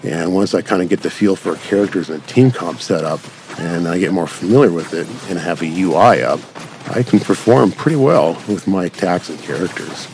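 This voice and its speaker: A man's voice: low voice, normal speed, a little mellow, slight background noise. A man giving casual, unscripted commentary as host of a gaming channel, rambling along in long run-on sentences. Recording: music over the voice. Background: music.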